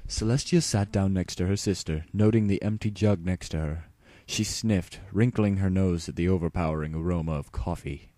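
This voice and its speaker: A man with a deep voice narrates a story in a dramatic audiobook style. The recording is dry, with very little room sound around the voice. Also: moderate pace, hardly any pauses, dark tone, dry recording.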